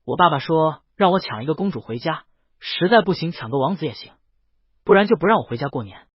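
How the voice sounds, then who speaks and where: A man voicing a gentle, earnest young male dragon in an audiobook, polite and eager to reassure. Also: fairly high pitch, lively intonation, fast, breathy edge, no echo, dull audio. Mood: puzzled. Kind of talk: audiobook character dialogue.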